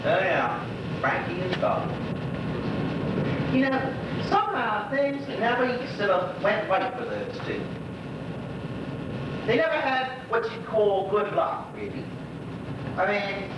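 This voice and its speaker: An actor playing a lonely, gossipy old widower with a Bermudian accent, rambling through neighbourhood memories in a folksy stage monologue. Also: male voice. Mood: wistful, reminiscing. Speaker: actor in a one-act monologue. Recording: echoey hall from far off, noisy, rumbling.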